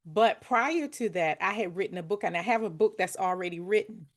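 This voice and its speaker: A woman hosts a storytelling podcast in a relaxed interview. She chats in an animated way and stretches words out for emphasis. Her voice sits at a middle pitch. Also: brisk. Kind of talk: casual interview conversation.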